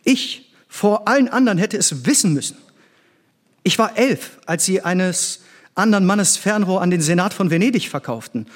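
An actor playing an earnest middle-aged scientist and former pupil in a stage drama, intense and theatrical. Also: male voice, high-pitched, animated, moderate pace. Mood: fervent, admiring.

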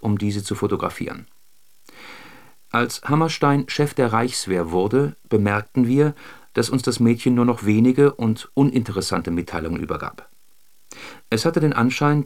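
An actor playing a communist informant in a documentary radio drama, giving a formal, matter-of-fact statement. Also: male voice, on the low side, vocal fry.

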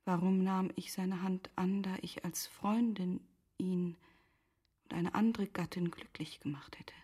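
Grieving noblewoman, very husky and hushed. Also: fairly low pitch, steady tone. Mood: anguished self-reproach. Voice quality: very husky, breathy, half-whispered. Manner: solemn, measured, formal literary diction. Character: grieving, resigned noblewoman Charlotte, self-reproaching and composed. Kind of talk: literary radio drama acting.

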